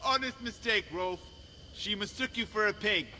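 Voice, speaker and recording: An actor playing a protective, teasing young prince and big brother in an audio game, reassuring in manner. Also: male voice, high voice, normal speed, sharp tone, slight background noise. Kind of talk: dramatic audio game acting. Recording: reverberant, music behind.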